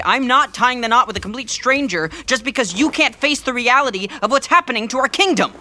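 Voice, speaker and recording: A man's voice: very high voice, pushed and bright, heavily acted. A man playing an exasperated, headstrong teenage prince of about eighteen in an audio fairytale, incredulous and protesting. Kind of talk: scripted audio fairytale comedy acting.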